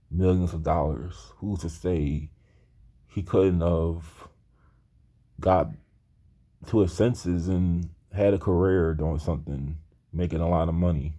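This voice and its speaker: A man's voice: low-pitched, fairly even intonation, takes it slowly, mellow. A man in his thirties talking in a rambling personal monologue, earnest and hesitant, with many restarts. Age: 30s. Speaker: aspiring screenwriter talking to camera.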